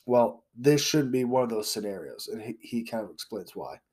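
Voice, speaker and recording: A man's voice: mid-range voice, expressive, normal speed, warm tone, slightly rough. A man hosting a podcast, talking bluntly and a little congested from a recent cold. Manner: blunt, opinionated, with fillers. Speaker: podcast host. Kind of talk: casual podcast monologue.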